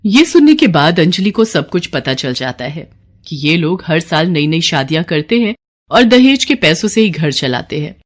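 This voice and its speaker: A woman's voice: sits low, sing-song, normal speed. A woman delivering scripted narration for a moral tale as the storyteller.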